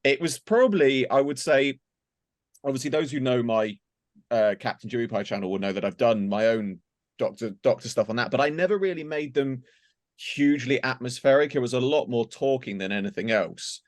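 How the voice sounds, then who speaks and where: A man's voice: medium pitch, animated, a little fast, no room sound, clean studio sound. A man chatting casually in a group as an audio drama creator, rambling and acting out little quoted asides as he tells stories. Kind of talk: casual group chat, behind-the-scenes reminiscing.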